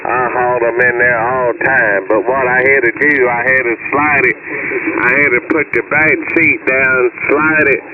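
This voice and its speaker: A man's voice: mid-range voice, steady tone, moderate pace. Man on heavily hissing shortwave radio. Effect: shortwave SSB radio. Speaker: amateur radio operator. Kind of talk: casual chat over ham radio. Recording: very noisy narrowband shortwave radio, distant.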